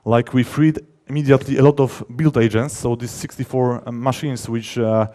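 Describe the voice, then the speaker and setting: A male conference speaker from his language and a relaxed, mellow timbre at an ordinary male pitch. He explains technical matters informally, leaning on key words for emphasis. His sentences often halt and restart as he rephrases. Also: moderate pace. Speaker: conference speaker, software developer.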